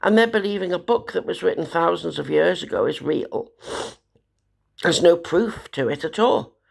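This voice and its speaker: A woman, hoarse from a cold, talking in a despairing, rambling way about her troubles. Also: fairly low pitch, lively intonation, moderate pace. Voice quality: gravelly. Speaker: vlogger. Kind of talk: rambling personal monologue.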